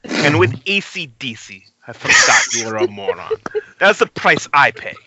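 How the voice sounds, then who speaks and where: A man's voice: mid-range voice, big pitch swings, moderate pace, sharp tone, lo-fi sound. A man playing a bitter, coldly sardonic injured cameraman with stiff, theatrical phrasing in an amateur table read over an internet call. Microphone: internet video call.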